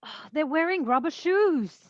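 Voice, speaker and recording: Woman acting a blunt fantasy character, high pitch, plain-spoken, comedy read, dry muffled recording. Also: animated, measured pace, no echo. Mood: exasperated.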